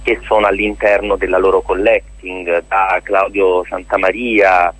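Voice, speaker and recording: A man speaking as a guest in an informal radio interview, explaining as the organiser of a theatre festival, with a husky voice. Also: low voice, flat delivery, a little slow, fairly bright tone, poor audio.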